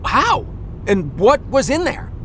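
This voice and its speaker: A man playing a bemused, cautious middle-aged dad with a wry, halting manner in a children's audio drama. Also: extremely high pitch, very animated, a bit bright, slight background noise, exaggerated voice, poor audio. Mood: puzzled.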